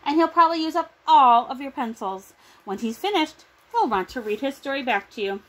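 A middle-aged woman with a high voice reads a children's story aloud. She is recorded in a small room at home, on a device at about arm's length. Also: animated, fairly quick, rough recording. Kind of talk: picture book read-aloud.

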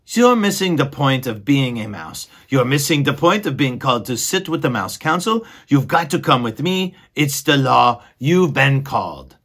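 A man's voice: medium pitch, very animated, moderate pace, hardly any pauses, fairly bright tone, slightly rough, pitched above the performer's own voice, full character voice. A man voicing an exasperated young male mouse who bosses his brother around, in a raised, impatient tone. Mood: scolding. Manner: impatient, scolding.

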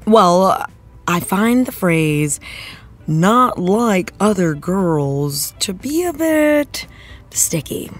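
Slow, lively-pitched earnest TV actress. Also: female voice, faint music behind, full character voice. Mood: thoughtful, earnest. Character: earnest, thoughtful TV actress being interviewed. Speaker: interviewed actress, after-show guest. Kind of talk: conversational interview.

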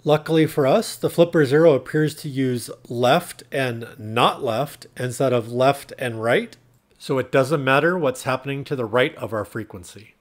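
A man's voice: mid-range voice, moderate pace. A man narrating a tech tutorial in a plain, instructional, step-by-step way. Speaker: tutorial presenter.

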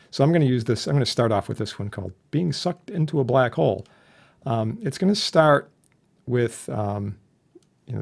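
A man instructing in an informal software tutorial, chatty and plain-spoken with a wry streak. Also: mid-range voice, creaky, husky. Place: indoors, voice-over for a screen recording.

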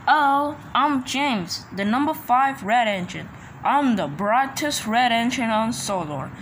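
A woman's voice: on the high side, animated, a little slow, a bit bright. A woman voicing a vain red steam engine in a boastful manner for a cartoon. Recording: noisy home recording.

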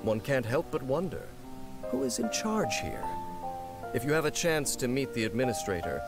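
A man reading scripted promotional narration for a video game in a measured, articulate, polished way. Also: mid-range voice, very animated. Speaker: narrator of game character guide. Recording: loud music under the voice. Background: game music.

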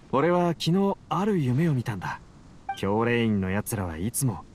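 Anime game researcher: mid-pitched, driven yet tired, tender, expressive melody. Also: male voice, a little hiss or hum. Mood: wistful. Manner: earnest, talking tenderly as if to a companion. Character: male researcher, driven but weary, fond of his machine. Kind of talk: anime game voice acting, monologue to a machine.

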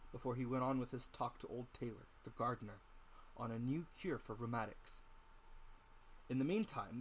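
A man narrating an audiobook as a dramatic reading, with deliberate, theatrical emphasis. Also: medium pitch, slight background noise, poor audio.

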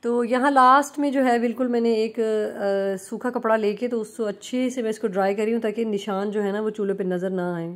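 A young adult woman chatting through a home cleaning vlog as a relaxed voice-over, full of fillers and restarts. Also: on the high side, fairly quick, few pauses. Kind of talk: casual voice-over narration. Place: home kitchen.

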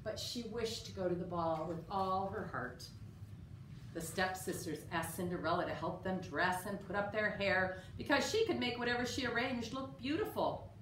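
Roomy, distant woman reading a storybook. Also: mid-range voice. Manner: expressive, measured read-aloud delivery. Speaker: storyteller at a library. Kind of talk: reading a children's picture book aloud. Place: indoor room with story sign. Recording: roomy, distant mic, faint room noise.

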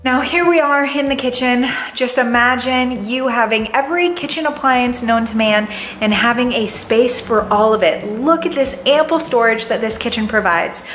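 Bubbly high-voiced woman. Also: moderate pace, a bit bright, faint music behind. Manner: bubbly, enthusiastic, gushing. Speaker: real estate agent. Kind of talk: upbeat house tour vlog. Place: inside a large empty house. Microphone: handheld camera at arm's length.

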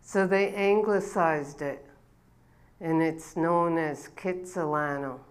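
A woman in her sixties, an elder giving a welcome address on a stage, telling her family's history in an unhurried way with a body-worn mic. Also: deep voice. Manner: slow, unhurried storytelling with rising ceremonial calls. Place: dark event stage before an audience.

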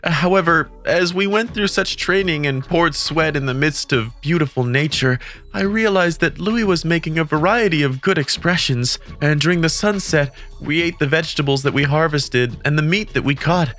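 A man's voice: fairly high pitch, moderate pace, fairly bright tone, natural voice. A man playing an earnest, kindly teenage boy who narrates and speaks in an English-dubbed manga romcom. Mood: narrating. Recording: music behind the voice.

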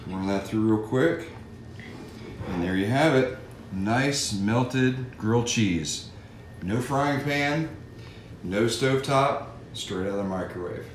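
A man's voice: lowish voice, takes it slowly. Folksy middle-aged man, echoey kitchen. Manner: folksy, instructive, addresses kids and parents directly. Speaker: fire safety educator. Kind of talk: cooking demonstration with safety tips. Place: home kitchen, indoors. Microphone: camera mic from across the room. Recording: echoey kitchen, mic far off, audible noise.

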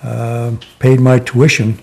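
An older man in his seventies from Connecticut, reminiscing slowly in a sit-down oral history interview, with many pauses and "uh" fillers. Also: mid-range voice, monotone, creaky, a little mellow. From Connecticut, USA. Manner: slow, reflective, many "uh" pauses. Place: living room, seated in armchair.

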